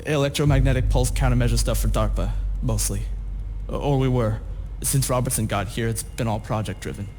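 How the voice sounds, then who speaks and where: An actor playing a nervous, cooperative lab scientist under threat, explaining hesitantly in a game cutscene. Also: male voice, mid-range voice, brisk, dark tone, a little hiss or hum, lightly acted. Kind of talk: video game cutscene acting. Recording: long reverb, music behind.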